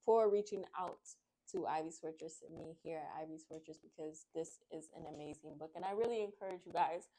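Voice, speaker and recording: A woman hosting a children's read-aloud, speaking in an expressive, excitable, friendly way to young viewers. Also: low-pitched, a little fast, hardly any pauses, slight background noise, poor audio. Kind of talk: storybook narration and friendly chat to young viewers.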